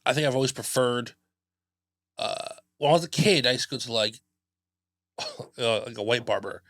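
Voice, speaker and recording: A man's voice: mid-range voice, moderate pace, a bit bright, no echo, clean studio sound. A young man hosting a podcast, chatting in a loose, joking, rambling way. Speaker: podcast host. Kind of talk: casual chat.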